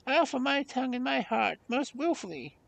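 Man voicing a high-pitched, self-important pet monkey. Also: very animated, a little fast, creaky, a bit bright, no echo, heavily acted, low-quality recording. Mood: earnest, grand. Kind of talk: storybook character voice.

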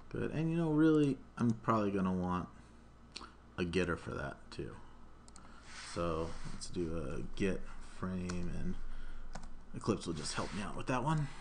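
A man in his thirties giving an informal programming tutorial, narrating each step aloud as he works. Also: sits low. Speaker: programming instructor. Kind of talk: live coding tutorial, thinking aloud. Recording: noisy room mic with keyboard typing. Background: keyboard typing, room noise.

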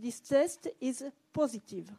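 A woman journalist puts a question slowly and haltingly, stopping often to find the right words. Her voice is fairly high. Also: lively intonation, vocal fry, dark tone, slightly rough. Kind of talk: press conference question.

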